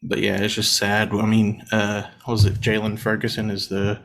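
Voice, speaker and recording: Casting note: man, thirties, sports podcast co-host, slightly low voice, under the weather, easygoing chat full of "uh"s. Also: normal speed, gravelly. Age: 30s. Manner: relaxed, frequent "uh" and "um" fillers. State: under the weather, voice deeper than usual.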